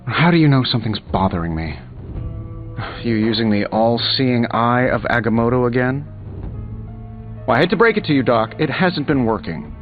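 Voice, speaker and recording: A man's voice: medium pitch, expressive, normal speed, pauses often, lightly acted. An actor playing a sardonic, troubled middle-aged genius hero in a video game, wry and casual in his speech. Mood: wry, deflecting. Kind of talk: scripted video game dialogue. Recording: slightly dull game audio, music behind.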